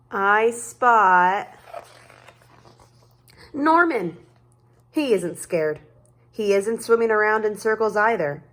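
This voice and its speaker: A woman reading a children's story aloud in an expressive way, stretching words for dramatic emphasis. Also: mid-range voice. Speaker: storybook reader.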